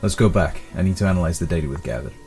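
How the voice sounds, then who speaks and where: Low-voiced, composed scientist, music behind. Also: male voice, expressive, rapid-fire, vocal fry, a little mellow. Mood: businesslike. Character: composed, single-minded male scientist, assured and persuasive. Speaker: voice actor in an audio drama. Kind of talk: audio drama acting.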